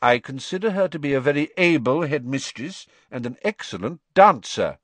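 A man reading an audiobook and voicing a calm, quiet old headmaster with a dry manner. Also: medium pitch, moderate pace, no echo, pitched above the performer's own voice.

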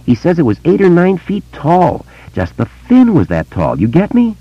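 A man voicing a curious, excitable small-town boy who talks in a quick, slangy way. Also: on the high side, husky, dry recording, voice pushed up, exaggerated voice. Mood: excited. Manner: breathless, excitable, slangy kid talk. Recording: dull lo-fi dry recording.

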